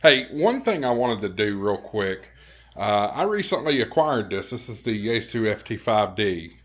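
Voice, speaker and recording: A man's voice: on the low side, big pitch swings, measured pace, a bit bright. A man chatting casually to a camera in a hotel room, easygoing and hesitant, often repeating himself. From American South. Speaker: vlogger and ham radio YouTuber. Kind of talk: casual vlog chat.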